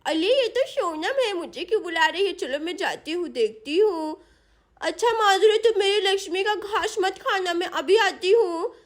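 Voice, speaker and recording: A woman's voice: very high-pitched, animated, normal speed. A woman voicing an eager, trusting little village girl in a story, chatty and easily flustered. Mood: hurried.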